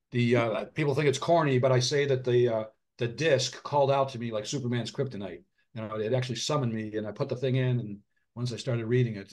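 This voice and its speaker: A middle-aged man, an author and physician, speaking earnestly in an interview, often restarting his sentences and dropping quiet at heavy moments. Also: medium pitch. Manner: earnest, halting, frequent restarts and fillers. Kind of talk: reflective interview conversation.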